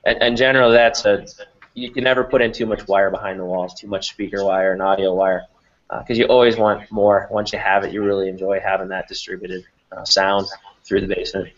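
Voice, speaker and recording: A man's voice: sits low, flat delivery, a little fast. A middle-aged man explaining things as an interview guest on a video call from an office. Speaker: company president, interview guest. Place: small office room, indoors. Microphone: computer mic on a video call. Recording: dull, lo-fi video call audio.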